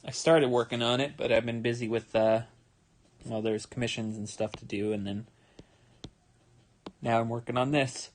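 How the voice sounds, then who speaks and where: Young male artist streaming his drawing, tired, easygoing, mid-pitched, full of ums and restarts. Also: normal speed, long pauses. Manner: relaxed, rambling, with many fillers and restarts. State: tired, yawning. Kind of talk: casual livestream commentary while drawing.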